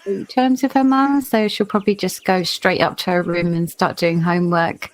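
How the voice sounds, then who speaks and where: Slightly low-voiced woman playing a tabletop game. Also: fairly even intonation, normal speed. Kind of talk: casual character description in a game.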